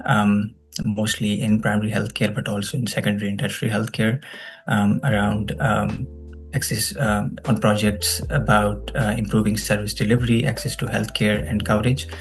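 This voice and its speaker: A man's voice: lowish voice, rough recording. A man with a Pakistani accent speaking as an interview guest, explaining things conversationally and checking in with "right?". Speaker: guest, global health researcher.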